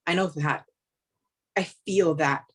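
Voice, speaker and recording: A woman's voice: low voice, takes it slowly. An actress playing a driven, weary woman police officer in a staged play reading, earnest and blunt in short emphatic phrases. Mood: determined.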